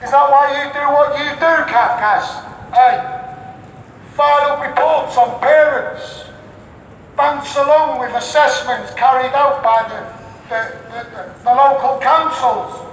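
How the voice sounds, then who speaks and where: Scouse male street campaigner, slow and confrontational megaphone delivery, heard from far off over clear traffic noise. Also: very high-pitched, steady tone. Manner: confrontational, taunting with repeated rhetorical questions. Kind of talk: megaphone protest speech. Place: outdoors on a London city street outside an office building. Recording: echoey megaphone outdoors, distant, traffic noise.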